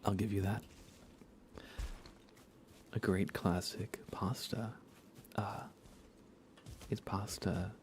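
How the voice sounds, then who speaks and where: An American man speaking in soft, breathy whispers, chatting casually and unhurriedly to viewers of an ASMR livestream. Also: on the low side, a little hiss or hum. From United States. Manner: hushed, unhurried, conversational.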